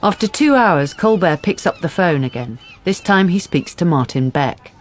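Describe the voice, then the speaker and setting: A woman giving measured, scripted narration for a crime radio drama. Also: fairly low pitch, expressive, close to an everyday voice.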